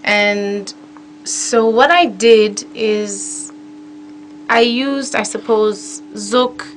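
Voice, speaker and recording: A woman from Kenya, a singer-songwriter, talking casually in an interview, relaxed and laughing easily. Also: medium pitch, a little hiss or hum. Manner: relaxed, laughs often, drawn-out phrasing.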